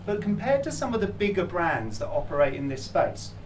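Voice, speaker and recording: A man's voice: high-pitched, very animated, brisk. A man presenting for a brand to a live audience in an upbeat, persuasive sales manner. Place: hotel function room. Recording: distant mic with faint room noise.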